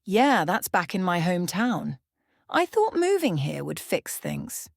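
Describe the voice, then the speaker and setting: A woman's voice: fairly low pitch, very animated, a little slow, gravelly, no room sound, clean studio sound, exaggerated voice. A woman voicing an easygoing, amused male hacker in a bedtime story, with a wry manner. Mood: reflective.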